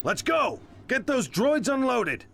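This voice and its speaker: An actor playing a bold, scheming criminal leader in a video game, commanding and self-assured. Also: male voice, very high voice, sing-song, slow, creaky, fairly bright tone, a little hiss or hum, full character voice. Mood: urgent.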